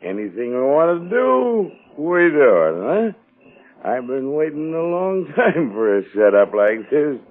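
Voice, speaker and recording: An actor playing an amiable, trusting, tipsy crook with slurred speech, in 1940s radio drama style. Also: male voice, fairly high pitch, sing-song, a little slow. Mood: tipsy, cheerful. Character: boozy, trusting, amiable male crook celebrating. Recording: muffled, faintly noisy 1940s radio recording.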